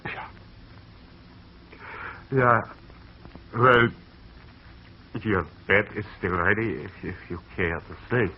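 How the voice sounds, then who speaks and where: An actor playing a weary farmer grieving a lost girl, talking haltingly and stammering, in a 1940s radio drama on an old lo-fi broadcast. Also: on the low side, breathy edge. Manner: halting, stammering, soft-spoken.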